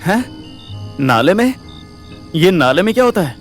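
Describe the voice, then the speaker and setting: A man's voice: high voice, very animated, measured pace, soft background music. A man playing a brash, swaggering, foul-mouthed young sleuth in an audio drama, talking in bossy slang. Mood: puzzled. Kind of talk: acted audio drama dialogue.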